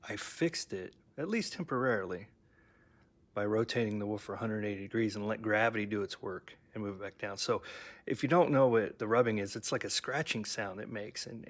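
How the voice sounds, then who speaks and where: A man with a fairly low voice walks through his DIY speaker project in an easygoing, rambling way. He trips over his words, restarts sentences, and fills pauses with ums as he explains. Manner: rambling, self-correcting, with frequent ums and stammered restarts. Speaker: DIY audio hobbyist showing his speaker build. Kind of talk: casual workshop show-and-tell.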